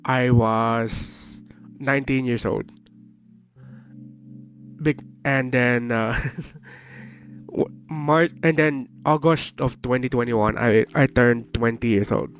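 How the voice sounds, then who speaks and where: Unhurried Filipino man in his twenties. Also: medium pitch. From Philippines. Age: 20s. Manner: laughs often, teasing and jokingly profane. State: slightly sick. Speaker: streamer. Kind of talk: casual chat with viewers. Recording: dull lo-fi stream audio with faint music.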